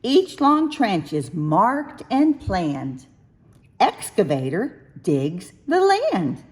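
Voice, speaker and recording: A woman reading a rhyming picture book aloud for young children, with expressive, sing-song, deliberate phrasing. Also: mid-range voice, breathy edge. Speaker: children's storybook reader.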